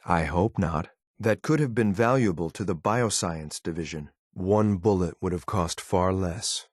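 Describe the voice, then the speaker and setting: A man voicing a cold, ruthless synthetic enforcer in a video game, with a clipped, deadpan, formal delivery. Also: low-pitched, measured pace, no echo, studio quality. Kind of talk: scripted game companion voice lines.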